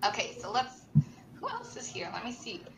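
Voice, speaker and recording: A woman with a fairly high voice hosts a live stream while under the weather, her voice strained. She chats brightly with lots of ums and greets viewers one by one. A clear hiss runs under the noisy stream audio. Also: wildly swooping pitch, normal speed. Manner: chatty and upbeat, many ums, greets viewers by name. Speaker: show host, marketing director. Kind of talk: live-stream chat show. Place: company studio with a control room.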